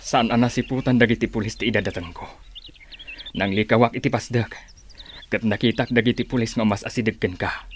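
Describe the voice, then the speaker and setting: A man's voice: on the low side, fairly even intonation, brisk, a little hiss or hum, natural voice. An actor with a Filipino accent playing an earnest, protective older man in a radio drama, speaking solemnly.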